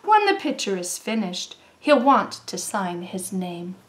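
A woman reading a children's story aloud in an expressive, deliberate way, drawing out words for young listeners. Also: medium pitch, noisy background. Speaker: storybook reader.

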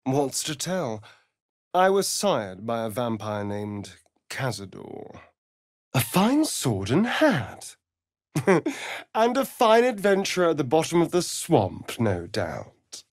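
A sly vampire rogue from a fantasy video game. He talks in an arch, mocking drawl, unhurried and theatrical, with pitch that swoops freely. The sound is dry and close, every vain aside savored. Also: wildly swooping pitch, no room sound. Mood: guarded, pointed.

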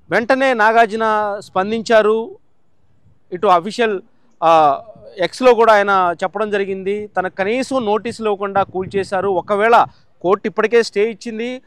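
A man's voice: sits high, a bit bright, smooth, no room sound. A man reporting the news from a site, explaining step by step with fillers and restarts.

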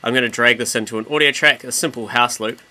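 A man giving a casual, informal software tutorial as a music production tutor. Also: medium pitch, steady tone, quick pace, very noisy. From New Zealand. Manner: informal, mildly sweary. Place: indoors, voice-over on screen recording.

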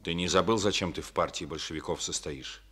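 An actor playing a firm, probing district party secretary in a studio radio play, questioning and rebuking in a calm manner. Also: male voice, low voice, fairly quick. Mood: stern. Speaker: party official.

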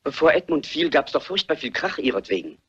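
An actor playing a hesitant, defensive brother in a German radio murder mystery. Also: male voice, fairly high pitch, sing-song, husky, exaggerated voice. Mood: musing. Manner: halting, defensive. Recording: muffled, dull radio play recording.

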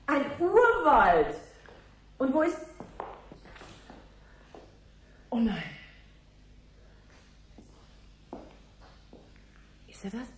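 An actress in a German radio play playing a brusque, uneasy woman with a dry, mocking way of talking. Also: high voice. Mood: alarmed. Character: brusque, uneasy, sharp-tongued woman exploring a flat. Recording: 1980s radio drama, faint noise.

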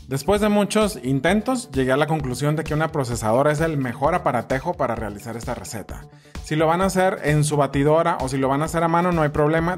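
A man in his thirties with a Mexican accent, narrating a recipe casually in a chatty, informal way. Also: mid-range voice. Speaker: cooking video host.

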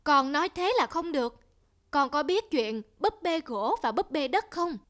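A woman voicing a patient, gently instructive mother in a cartoon. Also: sits high, lively intonation, moderate pace. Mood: gently correcting. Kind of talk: cartoon dialogue and storytelling.

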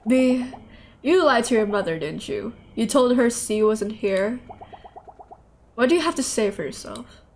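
A woman voicing a stern, middle-aged mother in a visual novel, measured and reserved. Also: fairly high pitch, lively intonation, a little mellow. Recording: clear background noise.

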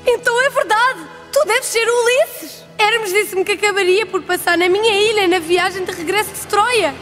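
A woman's voice: very high voice, moderate pace, fairly bright tone, soft background music. A woman voicing a proud, seductive sorceress in a European Portuguese cartoon dub, with formal, theatrical delivery. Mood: startled. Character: proud seductive sorceress, vengeful, then pleading. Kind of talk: dubbed cartoon acting. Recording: long hall reverb.

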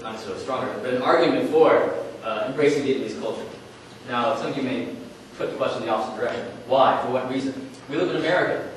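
Young male co-presenter, measured, lists his points, distant and echoey. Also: mid-range voice. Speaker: workshop co-presenter. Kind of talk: conference workshop talk. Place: conference room, speaking to an audience. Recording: echoey room, distant mic, faint noise.

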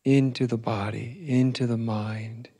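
Slow, mellow man guiding meditation. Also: medium pitch, steady tone, breathy edge. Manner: gentle, unhurried, spaced phrases with long pauses. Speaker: meditation teacher. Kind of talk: guided meditation.

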